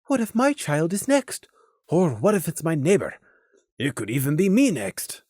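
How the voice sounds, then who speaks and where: A man's voice: fairly high pitch, wildly swooping pitch, normal speed, darkish tone, dry recording, clean studio sound. A man voicing anxious small-town pony residents in a fretful, folksy manner.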